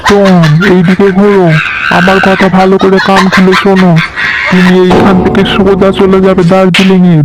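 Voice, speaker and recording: A man's voice: high-pitched, close to an everyday voice, low-quality recording. A man voicing a bossy, dutiful working dog in a cartoon dub, speaking firmly.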